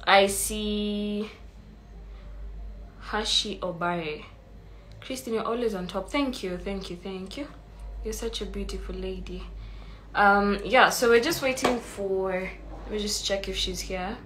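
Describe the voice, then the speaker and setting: A Kenyan woman hosts a relaxed live stream at a middle pitch, sounding a little stuffy from an oncoming flu. She chats easily and greets her viewers one by one. A faint hiss of background noise sits under her voice. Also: unhurried, pauses often, poor audio. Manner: chatty, greets viewers by name. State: coming down with a flu, clearing her throat. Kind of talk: casual live-stream chat.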